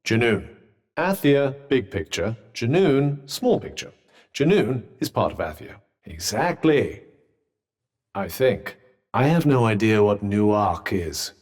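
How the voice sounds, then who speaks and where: A man's voice: on the low side, big pitch swings, slow pace, darkish tone, long reverb tail, heavily acted. A man with a British accent playing a sardonic, pompous magical talking vambrace in a video game, speaking in formal, wordy phrases with dry wit. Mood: haughty, pedantic. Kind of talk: video game character dialogue.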